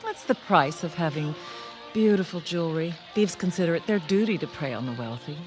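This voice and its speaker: A woman's voice: lowish voice, lively intonation, brisk, a little mellow, soft background music, natural voice. An actress playing a flirtatious, wealthy married society woman in a radio mystery, arch, coy and teasing. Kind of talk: radio mystery drama acting.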